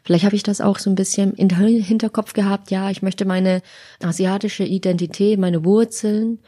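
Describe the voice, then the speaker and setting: A woman's voice: medium pitch, steady tone, moderate pace, warm tone. A woman from Bavaria chatting informally as an interview guest, recorded in a living room. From Bavaria, Germany. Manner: informal, conversational. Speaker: podcast guest, lifestyle and travel blogger. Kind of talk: casual interview chat.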